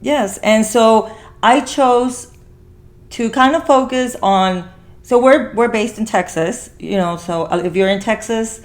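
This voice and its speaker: A woman's voice: fairly high pitch, slow. A woman hosting a podcast in casual conversation, rambling as she repeats and rephrases herself. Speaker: podcast host.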